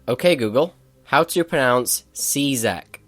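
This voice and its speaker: A man's voice: medium pitch, expressive, takes it slowly, fairly bright tone, faint music behind. A male gaming channel host giving a matter-of-fact, explanatory walkthrough of a game update.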